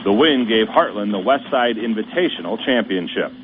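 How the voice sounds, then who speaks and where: A man hosting a high school sports radio show, reading scripted news in a steady, measured announcer voice. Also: over loud music. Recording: tinny radio broadcast with faint hiss.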